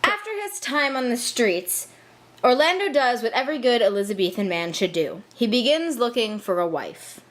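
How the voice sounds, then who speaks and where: Higher-pitched woman, sardonic narrator. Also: very animated, moderate pace, fairly bright tone. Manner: irreverent, sardonic. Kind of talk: comic scripted narration of a puppet show.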